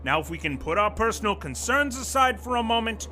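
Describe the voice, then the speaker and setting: A man's voice: high-pitched, sing-song, a little fast, bright, forceful tone, a little hiss or hum, exaggerated voice. A man playing an exasperated, pompous corporate vice president speaking formal management jargon with strained patience in a comedic audio drama. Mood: officious.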